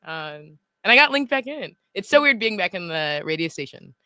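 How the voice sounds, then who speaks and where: A woman's voice: mid-range voice, very animated, normal speed, sharp tone, dry recording. A young woman in her twenties, a guest in a radio studio, chatting and giggling often. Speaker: music journalist guest. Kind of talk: casual radio interview.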